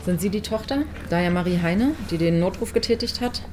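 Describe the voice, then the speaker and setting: A woman's voice: fairly low pitch, fairly quick, close to an everyday voice. A woman playing a businesslike emergency responder in an audio drama, formal and matter-of-fact. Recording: distant, noisy ambient scene.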